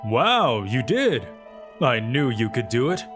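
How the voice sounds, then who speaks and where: A man's voice: mid-range voice, big pitch swings, slow pace, a bit bright, soft background music. A man voicing a smarmy, ingratiating middle-aged male vice principal in a manga dub. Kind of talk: amateur manga dub acting.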